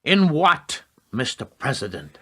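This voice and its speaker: Man playing a sharp, calculating government adviser. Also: medium pitch, sing-song, unhurried, husky, heavily acted. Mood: cool, defiant. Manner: formal, insistent, evasive. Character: calculating, cold-hearted male presidential adviser from energy business. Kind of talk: radio play acting.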